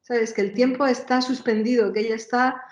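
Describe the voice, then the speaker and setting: Higher-voiced woman in a roomy, dull recording. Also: steady tone, fairly quick, a little mellow. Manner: searching for words, reformulating. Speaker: interview guest, composer. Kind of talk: conversational interview.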